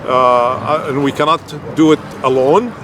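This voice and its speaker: A man's voice: medium pitch, a little slow, background noise. A man from Palestine, an activist, speaking earnestly in a street interview at an outdoor rally. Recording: outdoor recording with loud crowd.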